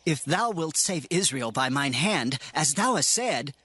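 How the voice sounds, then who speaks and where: A man's voice: on the high side, sing-song, measured pace, sharp tone, slightly rough, no echo, exaggerated voice. A man playing a humble, anxious Israelite warrior who speaks earnestly and emotionally in a scripture audio drama. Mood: humble, pleading.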